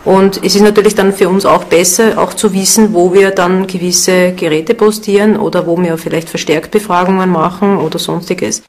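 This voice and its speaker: Woman investigator explaining in an interview, slightly low voice. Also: steady tone, moderate pace, few pauses. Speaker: amateur paranormal investigator.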